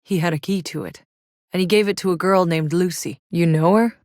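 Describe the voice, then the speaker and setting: A woman's voice: fairly low pitch, brisk, a little mellow, dry recording, clean studio sound, natural voice. An actress playing a tough, sardonic female soldier in a sci-fi shooter game, with a wry, blunt delivery. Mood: focused, businesslike. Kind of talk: video game voice lines, naturalistic acting.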